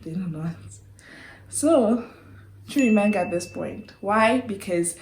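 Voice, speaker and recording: A woman's voice: mid-range voice, lively intonation, takes it slowly, a little mellow, a little hiss or hum. Chatty Trinidadian woman in a roomy space. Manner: chatty, giggly, breaks off with sighs and apologies. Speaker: vlogger. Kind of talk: casual chat to camera. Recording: roomy echo.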